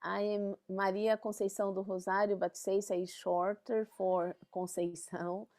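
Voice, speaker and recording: A woman from northeast Brazil, introducing herself on a video call in a measured way, pausing often on fillers. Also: medium pitch, little pitch movement, a little mellow. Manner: measured, with frequent pauses and fillers. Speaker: researcher and trainee.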